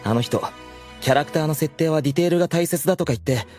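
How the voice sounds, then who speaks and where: A man's voice: a little high, fast talker, a little mellow, soft background music, lightly acted. A man playing an ordinary young guy's wry, casual inner thoughts in an anime audio drama. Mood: impressed, wry. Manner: casual, wry muttering to himself.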